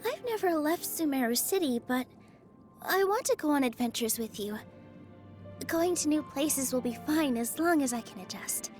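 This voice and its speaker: A woman voicing a gentle, sunny young dancer in a video game, earnest and encouraging, with a sweet, coaxing manner. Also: high-pitched, animated, moderate pace, soft background music. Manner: sweet, soft-hearted, bubbly, coaxing. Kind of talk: scripted video game character lines.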